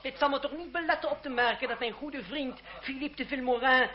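A man's voice: very high-pitched, a little fast. A man acting a mocking, sceptical young lawyer in a Dutch radio play, sardonic and ornately polite. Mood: exasperated, mocking. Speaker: radio drama actor. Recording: dull old radio recording, crowd noise.

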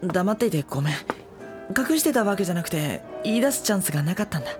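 A soft-spoken, wry high school boy. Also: sing-song, fast, music in the background. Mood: apologetic. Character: gentle, exasperated high school boy narrator, self-deprecating. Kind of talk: Japanese anime audio drama acting with narration.

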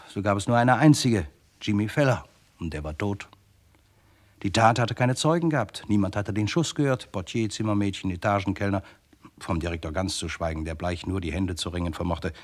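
Low-voiced, dryly ironic male narrator. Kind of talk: scripted radio crime play narration.

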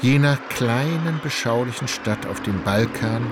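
Measured male narrator over loud music. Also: mid-range voice, lively intonation. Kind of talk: literary scripted narration for radio play.

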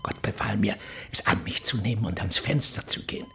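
An actor playing a fanatical preacher who calmly confesses to murder in a radio crime drama, with a slow, hushed, zealous delivery. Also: male voice, fairly low pitch, creaky, whispered, exaggerated voice. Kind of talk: radio play acting.

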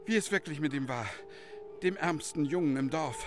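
An actor in a German radio play voicing a proud, wistful village schoolmaster who would be a poet, declamatory and scornful of gossip. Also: male voice, on the high side, moderate pace, music in the background, natural voice. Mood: indignant. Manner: declamatory, brooding, theatrical.

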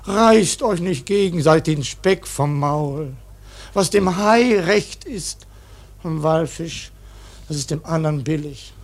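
A very old ship's cook for a radio play. He is stubborn and pious in a rough-edged way, cursing as he sermonizes. The voice is husky and a little high for a man, and he takes his time over the words. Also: slow pace. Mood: preachy, exasperated. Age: older. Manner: grumbling mock-preacher, swears constantly. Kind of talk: German radio play acting.

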